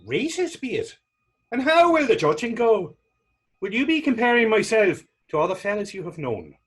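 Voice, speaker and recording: A guileless, earnest young fellow played by a male actor on the readers theater stage. His husky, slightly high voice leaps up and down as he rambles in old-fashioned dialect. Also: big pitch swings, normal speed, heavily acted. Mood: eager, smitten. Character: naive, earnest, smitten young Irish fellow.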